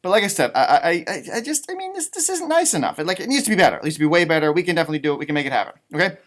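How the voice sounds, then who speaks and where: Animated man reading to children. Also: on the high side, racing. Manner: animated, rambling, playful commentary. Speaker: teacher reading storybooks aloud. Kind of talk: children's read-aloud with chatty asides.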